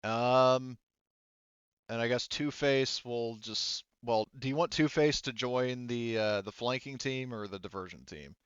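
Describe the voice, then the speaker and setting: A man's voice: mid-range voice, unhurried, a bit bright, smooth, no room sound, highs cut off. A man speaking casually and emphatically as game master, explaining options to players in a tabletop roleplaying game.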